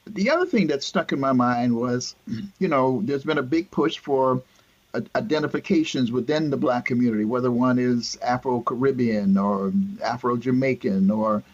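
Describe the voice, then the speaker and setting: A middle-aged man with a husky voice hosts an interview over muffled, lo-fi audio. He hesitates often and restarts his sentences as he works toward a question. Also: medium pitch. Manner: halting, searching for words, many restarts. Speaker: host.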